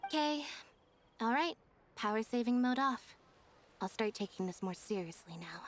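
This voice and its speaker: An actress playing a low-energy young female magician's assistant in a video game, deadpan and unhurried. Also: a little hiss or hum, close to an everyday voice. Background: game music.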